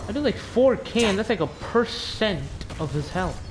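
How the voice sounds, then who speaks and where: A man's voice: high voice, expressive, normal speed, noisy background. A teenage male giving casual gameplay commentary, muttering to himself with mild swearing, over game music. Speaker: gaming video host.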